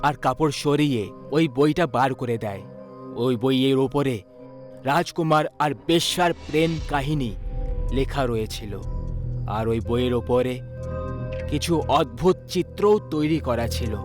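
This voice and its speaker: A man's voice: medium pitch, unhurried, faint music behind. A man narrating a scripted horror story.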